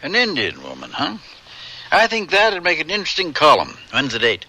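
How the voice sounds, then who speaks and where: An actor playing a brisk, wry, knowing frontier newspaperman in a radio drama. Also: on the high side, huge pitch swings, bright, forceful tone, exaggerated voice.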